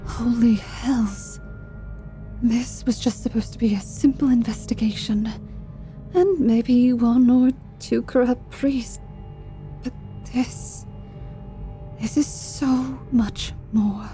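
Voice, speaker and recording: A woman acts out a reluctant hero in a fantasy ASMR roleplay, a wry, foul-mouthed female adventurer. She speaks very slowly and intimately, with a mellow tone and faint music underneath. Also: a little high, fairly even intonation. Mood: hushed dismay.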